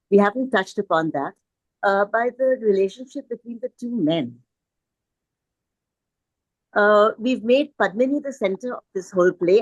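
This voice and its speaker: A woman's voice: mid-range voice, no echo, rough recording. Tentative, polite woman in a dry close sound. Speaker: book club participant. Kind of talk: thoughtful discussion commentary.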